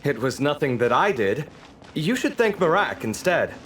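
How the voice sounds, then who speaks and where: A man's voice: fairly high pitch, very animated, moderate pace, fairly bright tone, slight background noise. An actor playing a dramatic, sarcastic young man in an English game dub. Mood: gentle.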